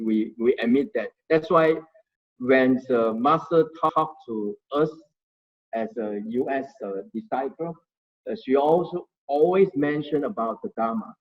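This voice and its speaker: Male presenter, halting and hesitant delivery, middle-pitched, muffled lo-fi audio. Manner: halting, searching for words, frequent restarts. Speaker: Dharma sharing volunteer presenter. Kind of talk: informal Buddhist study talk.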